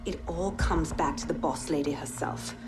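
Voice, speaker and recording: Actress as a low-voiced, determined woman police inspector. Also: normal speed, lightly acted, poor audio. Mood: grim, determined. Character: determined female police inspector recording a secret log. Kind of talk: video game cutscene monologue. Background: music.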